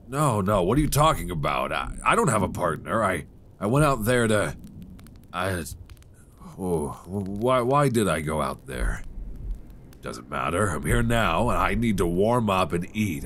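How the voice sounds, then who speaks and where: A man's voice: low-pitched, fairly bright tone, a little hiss or hum, heavily acted. A man playing a shivering, defensive stranger in a horror audio roleplay, stammering and sighing as he talks. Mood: evasive, confused. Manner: halting, stammering, breathy sighs. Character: shivering, defensive man, secretly an impostor creature. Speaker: voice actor. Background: music.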